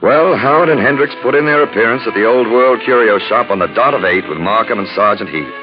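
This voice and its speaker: An actor playing a suave, erudite gentleman detective in 1940s radio drama, urbane and florid in his phrasing. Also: mid-range voice, animated, a little fast, over music, lightly acted. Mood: urbane, wry. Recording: dull, noisy vintage 1940s radio recording.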